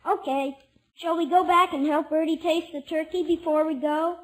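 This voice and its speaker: A woman's voice: very high voice, fairly even intonation, moderate pace, rough recording. A woman playing an earnest, inquisitive, polite little boy in 1950s radio comedy, blunt and innocent in manner. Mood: curious, earnest.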